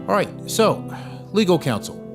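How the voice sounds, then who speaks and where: An actor playing a businesslike lawyer who lays out case details in a measured way. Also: male voice, high-pitched, very animated, creaky, over music, exaggerated voice. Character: calm lawyer advising a couple.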